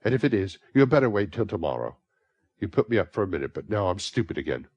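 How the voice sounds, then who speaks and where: Young drunk woman put on by a man, bitter, defiant, languid, husky edge. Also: fairly low pitch, sing-song, quick pace, no room sound, highs cut off, voice pushed up. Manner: bitter, languid, slurring hints.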